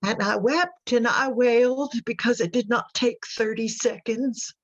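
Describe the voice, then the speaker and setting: A woman playing a haunted Southern mother in a radio drama monologue, telling her confession in a folksy, intense, deliberate way. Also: a little high, muffled recording. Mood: haunted, grim.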